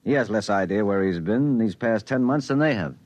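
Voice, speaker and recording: A man's voice: lowish voice, lively intonation, brisk, a little mellow, dry recording, lightly acted. An actor playing a determined, earnest man quitting his job, in 1950s radio drama style. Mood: earnest, resolute.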